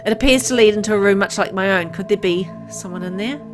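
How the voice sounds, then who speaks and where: A woman voicing a gravely ill man who is turning into a hungry vampire, reading the first-person game text aloud in her own plain voice, with game music underneath. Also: mid-range voice, quick pace, close to an everyday voice. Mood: uneasy.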